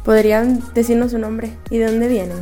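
A woman's voice: fairly high pitch, flat delivery, normal speed, warm tone, soft background music. A woman working as interviewer, reading her questions formally for a radio piece.

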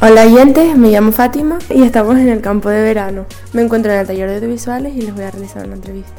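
A woman's voice: fairly high pitch, quick pace, mellow, soft background music. A woman working as interviewer, reading her questions formally for a radio piece.

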